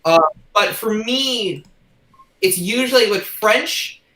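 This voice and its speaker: A man's voice: high-pitched, lively intonation, slow pace, sharp tone. Hesitant Canadian man, echoey room. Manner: hesitant, many fillers and restarts. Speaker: podcast guest. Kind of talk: casual chat.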